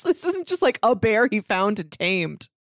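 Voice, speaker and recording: Lively woman co-host, close dry sound. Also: medium pitch, moderate pace, dry recording. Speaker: podcast co-host. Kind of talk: casual chat.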